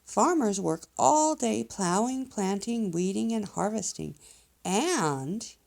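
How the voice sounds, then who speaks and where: Storybook professor bear, male, played by a woman: chatty teaching guide, encouraging, lively melody, slightly creaky. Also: medium pitch, slow. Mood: instructive. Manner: encouraging, explanatory, emphatic. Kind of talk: children's storybook character voice.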